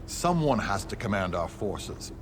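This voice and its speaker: A man's voice: lowish voice, very animated, measured pace, background noise. A man voicing a resolute, honorable guild commander in a video game, firm and formal. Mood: firm resolve.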